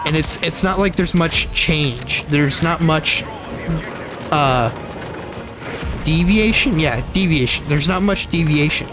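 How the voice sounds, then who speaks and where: A man's voice: a little high, slow, pauses often, music in the background. A young man chatting non-stop while playing a shooter game, rambling, repeating and correcting himself, with a slight frog in his throat. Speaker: gaming streamer. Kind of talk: casual gameplay commentary.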